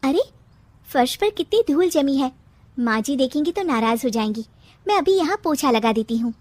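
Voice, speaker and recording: A woman's voice: sits high, animated, moderate pace, darkish tone, dry recording. A woman voicing a sweet, dutiful young daughter-in-law in a cartoon, polite and eager. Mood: dutiful concern.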